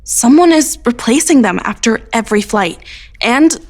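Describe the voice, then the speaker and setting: A woman's voice: fairly high pitch, animated, moderate pace, darkish tone. A woman playing a curious, careful teenage girl investigating a mystery in an audio drama. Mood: alert. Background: music.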